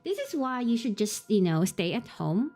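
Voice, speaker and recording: Giggly, lively woman streamer. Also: a little high, moderate pace, faint music behind. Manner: giggly, swears when startled. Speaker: streamer playing a horror game. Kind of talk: live game commentary and reading on-screen text aloud.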